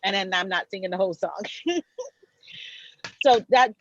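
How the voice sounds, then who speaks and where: A woman chatting casually on a video call, relaxed and laughing between her thoughts. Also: medium pitch, moderate pace, highs cut off. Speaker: party guest.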